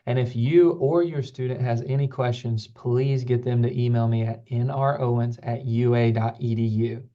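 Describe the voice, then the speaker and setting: A man's voice: mid-range voice, steady tone, moderate pace. A man giving an informational presentation as a student program staffer, earnest and explanatory, repeating words for emphasis. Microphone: online call microphone. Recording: slightly dull, lo-fi call recording.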